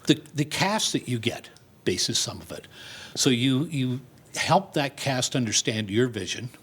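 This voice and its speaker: Husky older man, informal interview guest. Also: mid-range voice, lively intonation, a little slow, a bit bright. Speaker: community theater director and set designer. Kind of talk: conversational television interview.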